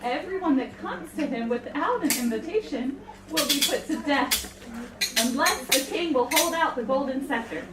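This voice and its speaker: An earnest young queen from an amateur puppet show, acted by a woman. She is high and very animated, hesitant yet resolute, heard from off the mic in a noisy room. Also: normal speed. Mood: solemn, resolute. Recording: echoey room, distant mic, clattering noise.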